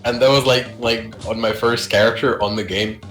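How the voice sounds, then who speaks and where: Casual young man with a bright voice. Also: mid-range voice, fairly even intonation, normal speed, reverberant, faint music behind. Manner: rambling, swearing, laughs often, shouts when reenacting. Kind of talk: casual gaming chat.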